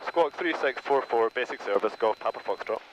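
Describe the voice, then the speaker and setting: A man's voice: mid-range voice, animated, a little fast. Male pilot on a dull, noisy cockpit intercom. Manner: formulaic, reading back instructions. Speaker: light aircraft pilot. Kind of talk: clipped aviation radio calls. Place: small propeller aircraft cockpit. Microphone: aviation headset. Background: engine drone.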